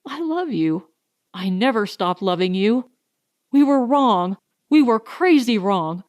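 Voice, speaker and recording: A woman's voice: a little high, expressive, slow pace, darkish tone, no echo, clean studio sound. A woman voicing a worried husband, a space miner, in an earnest, strained way for an audiobook. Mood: anguished, regretful. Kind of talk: dramatic audiobook character reading.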